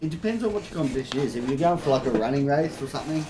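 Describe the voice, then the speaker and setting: A man's voice: mid-range voice, expressive, very fast, mellow, poor audio. An Australian man co-hosting a podcast, chatting casually and giving blunt, sweary opinions. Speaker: podcast co-host. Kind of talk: casual banter between mates.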